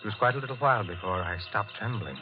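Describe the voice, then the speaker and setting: An actor playing a nervous, guilt-haunted husband in a tense, brooding old-time radio suspense drama. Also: male voice, on the low side, lo-fi sound, loud music behind the voice, close to an everyday voice. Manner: tense, brooding, deliberate confessional narration. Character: nervous, guilt-haunted husband and bird keeper narrating his crime.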